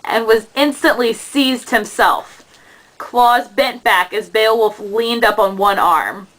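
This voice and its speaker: Slow, solemn woman storyteller. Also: on the high side, a bit bright, rough recording. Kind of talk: dramatic narration of an epic poem for a puppet show.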